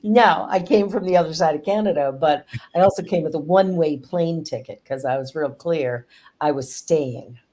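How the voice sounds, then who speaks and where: A middle-aged woman hosting a casual interview chat, effusive and quick to laugh. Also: low-pitched, warm tone. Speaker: host and spiritual director.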